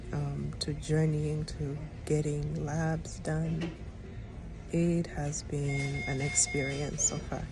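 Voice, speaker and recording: A middle-aged woman, a public health educator, talking in an earnest, reflective way with frequent pauses and ums. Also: sits low, gravelly, rough recording. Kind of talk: personal vlog talk. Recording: music playing underneath.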